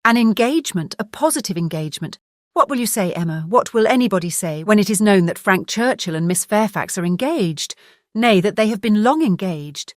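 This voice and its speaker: An actress with a British accent playing a gentle, distressed married gentlewoman in an audiobook drama, speaking earnestly and hesitantly. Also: medium pitch, animated, brisk, dry recording, studio quality, natural voice. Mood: shaken. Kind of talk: full-cast audiobook drama reading.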